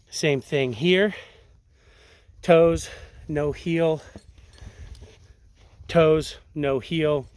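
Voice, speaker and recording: Slightly raspy man explaining outdoors. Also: a little high. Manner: relaxed, rambling, filler-heavy explaining. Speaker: tracking instructor. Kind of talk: casual outdoor demonstration. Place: outdoors on sandy riverbank.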